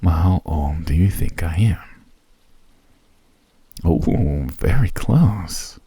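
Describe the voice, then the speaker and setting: A man voicing a courteous, centuries-old male elf who hosts a traveler in an ASMR roleplay, speaking in a gracious, formal, soft manner. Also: deep voice, animated, slow pace, husky, no echo, full character voice. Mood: friendly. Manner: gracious, formal and softly spoken. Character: ancient male elf host, courteous and genteel.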